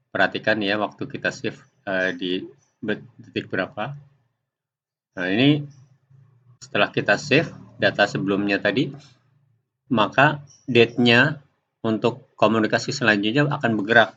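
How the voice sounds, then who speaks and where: Low-pitched man, dull lo-fi sound. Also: sharp tone. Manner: step-by-step, halting explanation. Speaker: software tutorial presenter. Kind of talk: unscripted screen-recording tutorial. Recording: dull lo-fi home recording.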